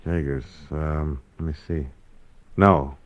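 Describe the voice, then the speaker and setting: An actor playing a cool, laconic, wry insurance investigator in a 1950s radio detective drama. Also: male voice, rumbling low, fairly even intonation, slow pace, no echo, highs cut off. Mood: inquisitive, wry.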